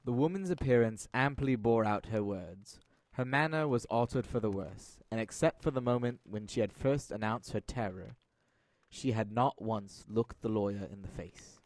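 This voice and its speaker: A man's voice: fairly low pitch, normal speed, a little mellow, no room sound. A man reading scripted narration for an audio drama as announcer and storyteller.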